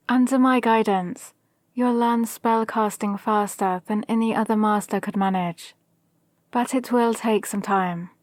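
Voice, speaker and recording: A woman acts out a villainous sorceress training an apprentice in an ASMR roleplay. She sounds close and dry, with a fairly high voice and slow, deliberate delivery. Her manner is calm, condescending and gently controlling, with a hint of madness. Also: no echo. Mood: patient, instructing. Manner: gently dominant, condescending, measured. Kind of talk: ASMR roleplay with whispered asides. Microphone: close, dry microphone.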